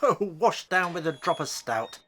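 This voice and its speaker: A woman's voice: on the low side, big pitch swings, measured pace, fairly bright tone, slight background noise. A woman playing a mild, homely working-class old husband and father in a radio play, speaking hesitantly. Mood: easygoing.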